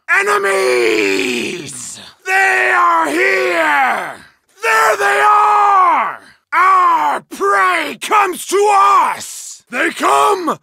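An actor playing a feral wolf-worshipping berserker warrior in a video game, with a gravelly, raspy voice and snarling, bellowing battle shouts. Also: male voice, very high voice, dry recording, heavily acted. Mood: war cries.

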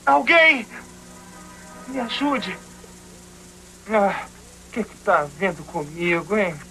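A man's voice: high-pitched, big pitch swings, slow pace, sharp tone, soft background music, full character voice, poor audio. A man voicing an anxious, bewildered teenage boy in a Brazilian cartoon dub. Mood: dismayed. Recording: old TV cartoon, faint noise.

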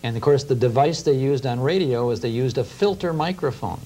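A man's voice: medium pitch, animated, brisk, warm tone. A middle-aged American man talking in conversation as an interview guest, explaining things with enthusiasm. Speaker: guest, radio historian. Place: small TV studio. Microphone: lapel mic.

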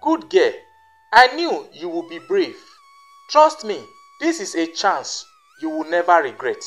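Storybook madam, read by a narrator: smooth-talking schemer, persuasive and reassuring, over music. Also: medium pitch, very animated, unhurried. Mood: approving.

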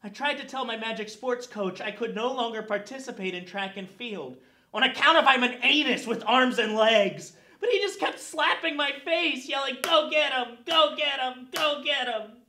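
A man's voice: very high voice, normal speed, bright, forceful tone, roomy sound, heavily acted. A man playing a miserable young camp wizard, whining and aggrieved, in a comedy monologue. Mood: miserable, whiny.

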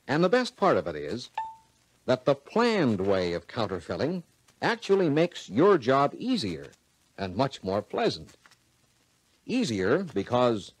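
Man, American accent, 1940s instructional narration, highly varied intonation, dry vintage film sound, folksy teaching manner. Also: husky, no echo, rough recording. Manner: folksy, instructive, addresses listener directly.

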